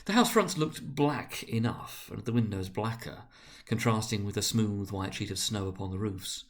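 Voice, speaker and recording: A man reading classic prose aloud as a storyteller, in an expressive, deliberate, dramatic narrating manner. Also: on the low side. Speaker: narrator, reader.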